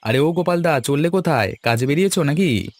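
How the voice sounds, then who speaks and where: A man's voice: on the high side, expressive, a little fast, no room sound, lightly acted. A man voicing a reluctant, easygoing young village bachelor in a cartoon. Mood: friendly. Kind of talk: Bengali cartoon dialogue.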